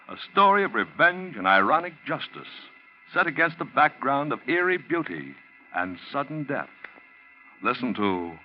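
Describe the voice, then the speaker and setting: Animated male 1940s radio announcer. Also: fairly high pitch. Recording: muffled 1940s radio recording.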